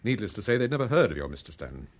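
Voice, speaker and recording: A suave, husky British gentleman detective. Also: lowish voice, very animated, rapid-fire. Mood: reassuring. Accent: British, Received Pronunciation. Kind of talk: radio drama acting.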